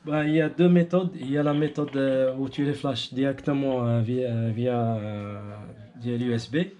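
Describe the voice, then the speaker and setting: A man casually explaining technical gear, haltingly and groping for words. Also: medium pitch, steady tone, dark tone, slight background noise. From Morocco. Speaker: amateur radio hobbyist explaining his setup. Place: indoors at his home radio station.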